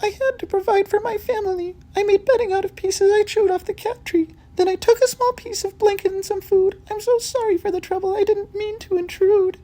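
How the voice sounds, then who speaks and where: A tiny quavering scared mouse. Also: male voice, very high voice, steady tone, fairly quick, few pauses, dark tone, voice pushed up. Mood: apologetic. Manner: quavering and meek. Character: small timid male mouse, scared and apologetic.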